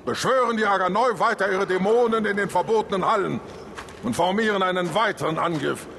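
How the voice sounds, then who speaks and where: Impassioned, high-voiced warrior. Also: male voice, a little fast, gravelly, fairly bright tone, slight background noise. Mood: urgent pleading. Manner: impassioned, formal appeal. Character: earnest, pleading male warrior defending his people. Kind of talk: dark fantasy audio drama. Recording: reverberant hall with music behind.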